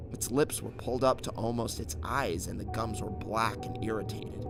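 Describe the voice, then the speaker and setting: Man narrating horror over music. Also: lowish voice. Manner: suspenseful, theatrical delivery. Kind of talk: dramatic scripted first-person horror narration. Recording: narration over clearly audible background music. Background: background music.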